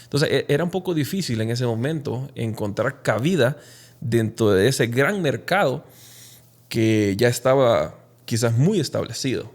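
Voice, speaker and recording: A man from Honduras, speaking reflectively and haltingly as an interview guest telling his own story. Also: medium pitch, animated. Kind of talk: conversational personal storytelling in an interview.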